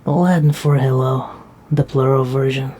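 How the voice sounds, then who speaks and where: A woman's voice: rumbling low, steady tone, unhurried, mellow. A woman playing a sleepy, protective young knight who talks in a drowsy, affectionate way. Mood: tired. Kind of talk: casual roleplay dialogue.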